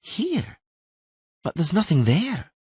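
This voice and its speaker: A man's voice: high-pitched, wildly swooping pitch, slow, darkish tone, husky, no echo, pitched above the performer's own voice, heavily acted. A man voicing a stubborn, anxious young girl who questions everything in a fantasy audiobook. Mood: puzzled. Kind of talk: audiobook character voice.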